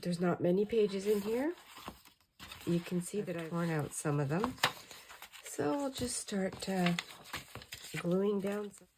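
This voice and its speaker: A middle-aged woman with a hoarse voice chatting in a relaxed, rambling way as she crafts at her table late at night. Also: mid-range voice, takes it slowly, pauses often, background noise. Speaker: crafting channel host. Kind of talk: casual crafting chat.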